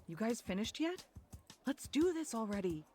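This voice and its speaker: A woman's voice: fairly high pitch, very animated, normal speed, a little mellow, slightly rough, faint music behind. A woman playing a feisty young bar worker in a fan-dubbed visual novel, blunt and cheeky. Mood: eager.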